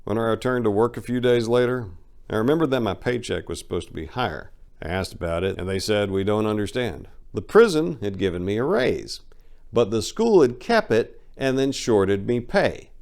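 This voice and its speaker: A man with a fairly low voice performs a first-person memoir as a dramatic reading. He tells it expressively, like a storyteller, leaning into certain phrases with emphasis. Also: sing-song, moderate pace, creaky, darkish tone. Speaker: audiobook narrator.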